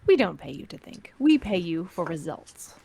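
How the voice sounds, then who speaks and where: A woman plays a ruthless female syndicate boss in a space crime drama. Her delivery is imperious and deliberate, with icy menace and widely swinging intonation. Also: on the low side, sing-song, gravelly, dark tone, slight background noise. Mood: cold menace. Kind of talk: fan script table read, dramatic acting.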